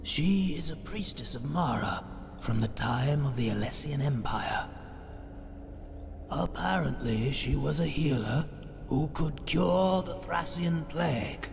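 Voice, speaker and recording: An actor playing a matter-of-fact keeper of a bonfire in a fantasy game, explaining things in a measured way. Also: male voice, a little high, expressive, vocal fry, full character voice. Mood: grave, explanatory. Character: male lore-keeper tending a bonfire among plague monsters, matter-of-fact. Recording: long reverb, music underneath.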